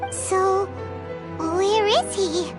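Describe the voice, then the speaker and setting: A woman voicing a tiny, excitable, curious fairy girl companion in a video game, squeaky and childlike, full of exclamations. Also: extremely slow, music in the background, full character voice. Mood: eager, curious. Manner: exclamatory, wide-eyed. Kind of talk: scripted video game dialogue.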